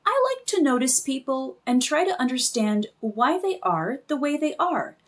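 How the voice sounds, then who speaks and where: An earnest suffragette mother from a storybook, played by a woman reader. She explains patiently and with emphasis, in a slightly high, animated voice. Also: moderate pace. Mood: affectionate. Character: earnest, attentive suffragette mother of a young girl.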